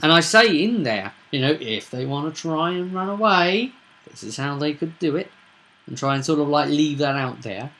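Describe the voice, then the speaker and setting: A man talking casually as a game master in an animated, blunt, rambling commentary. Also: on the high side, normal speed. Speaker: tabletop game master, video presenter.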